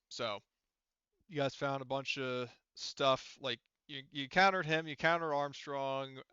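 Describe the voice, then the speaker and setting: A man casually narrating an online tabletop game as game master, halting and repeating himself as he goes. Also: medium pitch, sharp tone, smooth, no room sound, dull audio. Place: online voice chat.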